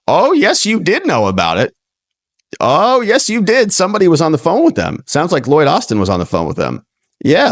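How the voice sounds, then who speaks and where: Animated American man commenting on news. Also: mid-range voice, no echo, studio quality. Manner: acts out imagined dialogue, mocking and incredulous. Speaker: host commentator of a livestream news show. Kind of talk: reading news reports with casual, sarcastic running commentary.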